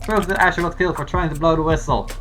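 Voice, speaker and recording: An actor in a video game playing an agitated, shouting conspiracy-minded protester, loud and insistent. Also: mid-range voice, fairly even intonation, quick pace, natural voice. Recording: game audio with loud music underneath. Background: game music.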